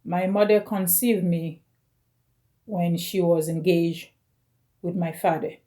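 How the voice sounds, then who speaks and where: Slow-speaking woman in her forties. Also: lowish voice, steady tone, warm tone. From Caribbean. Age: 40s. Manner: slow, halting, phrase by phrase. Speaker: vlogger. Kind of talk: personal video message.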